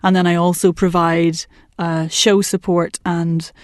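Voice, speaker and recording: A woman working as a voice and accent coach, talking in a chatty, animated way in a podcast interview. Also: on the low side, a little slow, no echo, clean studio sound. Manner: chatty and animated, laughs often, puts on voices for anecdotes. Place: work commute between Manchester and Liverpool.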